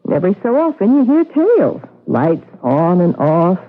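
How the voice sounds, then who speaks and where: A woman playing a curious, sympathetic, superstitious housewife with an expressive manner in a vintage radio mystery. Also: fairly low pitch, normal speed. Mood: intrigued, uneasy. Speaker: radio drama actor. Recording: tinny old radio broadcast.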